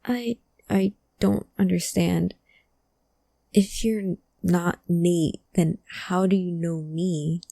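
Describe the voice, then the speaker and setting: A woman playing a guarded, grieving friend of a missing person in a cyberpunk audio drama, halting and emotional in delivery. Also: on the low side, fairly even intonation, creaky, warm tone, breathy edge, no room sound, heavily acted. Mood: confused.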